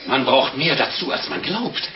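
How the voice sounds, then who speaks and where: An actor playing a preachy, long-winded priest with a solemn, halting delivery in an old radio play. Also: male voice, medium pitch, sing-song, close to an everyday voice. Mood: solemn preaching. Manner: solemn, theatrical, halting sermonizing. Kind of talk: 1950s German radio play acting. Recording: muffled, noisy old radio play recording.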